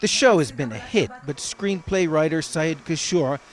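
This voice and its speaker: Fairly high-voiced male news correspondent. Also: faint music behind. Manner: measured, even broadcast delivery. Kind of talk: scripted news report narration.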